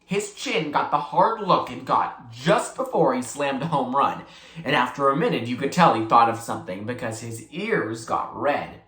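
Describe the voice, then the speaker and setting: A reader narrating a children's novel aloud in expressive storytelling style. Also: medium pitch, normal speed. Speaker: book reader. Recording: echoey room.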